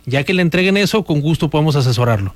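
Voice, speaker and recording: Male radio host, upbeat and persuasive, slightly high voice, dry close sound. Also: lively intonation, fast talker, no room sound. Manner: upbeat, persuasive promotional delivery. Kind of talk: radio talk show hosting.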